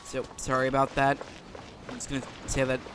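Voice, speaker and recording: A man gives relaxed, informal commentary as he hosts a gaming video. His voice sits at an average pitch. Game music is clearly audible behind him. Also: a little fast. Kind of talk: casual gameplay commentary.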